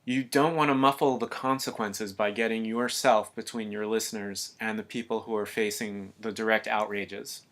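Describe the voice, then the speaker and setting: A man giving a calm, earnest scripted voice-over narration for an explainer video. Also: fairly low pitch, normal speed, few pauses.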